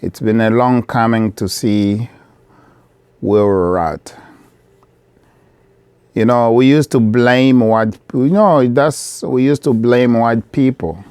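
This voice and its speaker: Slow, earnest low-voiced man. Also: mellow, clean tone, no room sound. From Democratic Republic of Congo. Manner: earnest, measured, repeating key phrases. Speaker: vlogger, business owner. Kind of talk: direct-to-camera appeal. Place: his shop.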